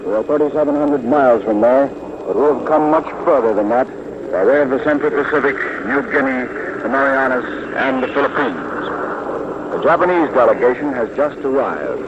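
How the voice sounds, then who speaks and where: An American man reporting live as a radio correspondent in formal, measured 1940s news commentary, outdoors on a warship deck. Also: medium pitch, animated. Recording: noisy muffled 1940s outdoor radio broadcast. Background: loud noise, aircraft drone.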